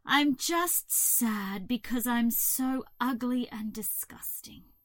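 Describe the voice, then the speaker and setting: A gloomy little male toad from a storybook, acted out by a woman, humble and self-pitying. The words drag out mournfully in a slow, close, dry sound. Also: medium pitch, animated, pushed and bright, dry recording.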